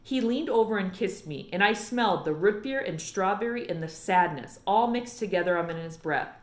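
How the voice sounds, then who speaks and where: A woman teacher narrating a children's storybook as an expressive read-aloud. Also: medium pitch, moderate pace, echoey room. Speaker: elementary teacher.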